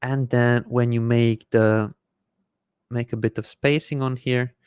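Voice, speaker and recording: Smooth-voiced man hosting a live Q&A. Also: medium pitch, little pitch movement, unhurried, no room sound, studio quality. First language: Hungarian. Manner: explains step by step while clicking through software. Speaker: host answering viewer questions. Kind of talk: live Q&A with screen-share demonstration. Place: small indoor room at a desk. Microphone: desk microphone in front of face.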